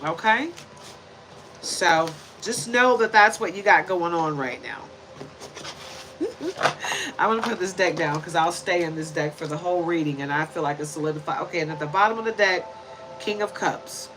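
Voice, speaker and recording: A woman's voice: fairly low pitch, normal speed, pauses often, background noise. A woman in her forties giving a chatty, preachy tarot reading to camera, handling the cards as she talks. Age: 40s. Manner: chatty and preachy, many tag questions; shuffles and lays out cards while talking. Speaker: tarot reader.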